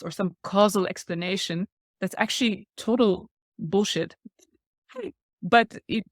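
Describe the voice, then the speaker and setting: A woman's voice: medium pitch, dry recording, studio quality. Woman in her forties explaining ideas earnestly. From Thailand. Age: 40s. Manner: earnest, explanatory, laughs lightly between points. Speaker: guest speaker. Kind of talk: reflective podcast talk.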